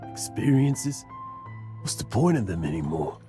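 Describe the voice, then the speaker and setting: An actor playing a weary, guilt-ridden old adventurer in a game, with halting, heavy-hearted, emotional delivery. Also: male voice, fairly low pitch, very animated, music in the background. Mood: despairing. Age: older. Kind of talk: dramatic game voice acting.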